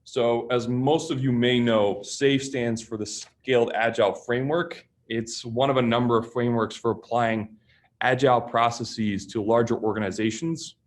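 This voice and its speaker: A man hosts a software webinar in a plain, mid-range voice. He explains terms in a relaxed way, with frequent small fillers, over a simple web-call mic. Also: medium pitch, moderate pace. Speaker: solutions engineer and webinar host. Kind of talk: webinar presentation.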